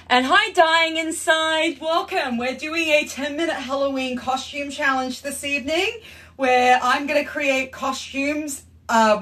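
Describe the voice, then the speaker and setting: A young Australian woman hosts a casual home live stream, chatting to viewers as she moves around her room. Her voice sits a little high for a woman, and her talk stays friendly and unhurried. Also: a bit bright. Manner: chatty, greets viewers while changing costumes. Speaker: livestream host.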